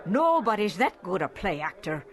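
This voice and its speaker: Woman acting a fussy, wildly expressive innkeeper landlady. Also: medium pitch, moderate pace, some chatter behind, natural voice. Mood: dismissive, gossipy. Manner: scolding, fussy, emphatic. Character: fussy, bossy village innkeeper woman, gossipy and indignant. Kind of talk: audio drama acting.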